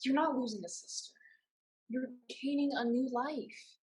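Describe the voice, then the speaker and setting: A woman's voice: sits high, normal speed, darkish tone, close to an everyday voice, low-quality recording. A woman playing a bold, headstrong, defiant young survivor in a staged play reading, theatrical and emphatic. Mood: persuasive.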